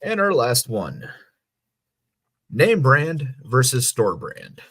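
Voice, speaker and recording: A man's voice: mid-range voice, very animated, takes it slowly, a bit bright, slightly rough. An American man co-hosting a podcast, talking in a casual, conversational way. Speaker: podcast co-host.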